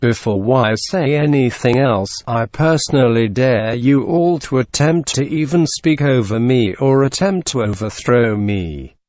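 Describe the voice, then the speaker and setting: A man's voice: mid-range voice, darkish tone, no room sound, natural voice. A man playing an arrogant, ruthless god of war, giving a pompous, menacing monologue in a scripted drama.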